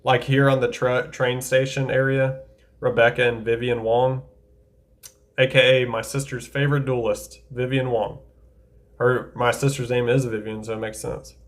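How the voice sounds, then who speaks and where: A man of middling pitch chats loosely as he ranks anime card duels. He sounds enthusiastic and thinks out loud, often stopping short to correct himself. The talk is relaxed and unscripted. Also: moderate pace. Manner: chatty, enthusiastic, thinks aloud with self-corrections. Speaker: anime tier-list creator. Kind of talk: casual tier-list commentary.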